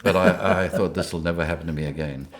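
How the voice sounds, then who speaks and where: An older man from Rhodesia, telling lively anecdotes in an interview and chuckling often. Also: sits low, fast talker. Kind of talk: storytelling interview.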